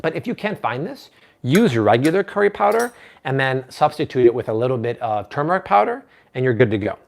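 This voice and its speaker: A man's voice: medium pitch, very animated, a little fast, vocal fry. Upbeat man hosting a live cooking stream. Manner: upbeat, chatty, talks to viewers and reads their comments. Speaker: cooking show host. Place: home kitchen.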